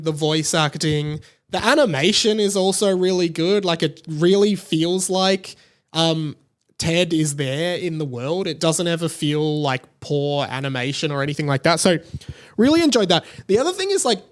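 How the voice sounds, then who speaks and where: Higher-voiced man chatting on a podcast. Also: unhurried. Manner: rambling, conversational. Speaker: podcast host. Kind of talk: casual solo podcast chat about TV shows.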